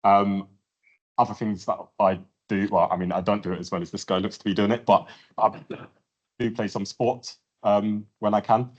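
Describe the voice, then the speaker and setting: Brief: man, low and husky, clinician giving a hesitant slide talk to a room. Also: flat delivery, lo-fi sound. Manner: halting, many ums and restarts. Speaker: clinician speaker at a patient event. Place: event room with audience.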